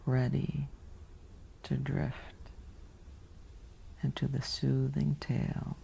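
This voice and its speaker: A woman guiding a sleep meditation in a soft, whispery, soothing voice with long pauses, like ASMR bedtime narration. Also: extremely low pitch, monotone, gravelly, slight background noise, poor audio.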